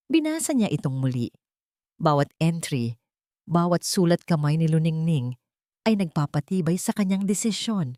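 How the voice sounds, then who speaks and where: Lady narrator, low voice, dramatic measured storytelling, really dry close sound. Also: a little mellow, dry recording.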